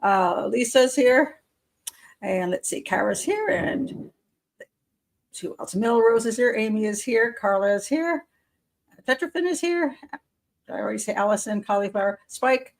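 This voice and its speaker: Gravelly-voiced woman hosting a live stream. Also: fairly high pitch, expressive, a little slow, pauses often. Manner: chatty and rambling, with frequent fillers and soft laughs. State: losing her voice, clearing her throat. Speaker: criminal profiler hosting a live members' show. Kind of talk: casual live-stream chat.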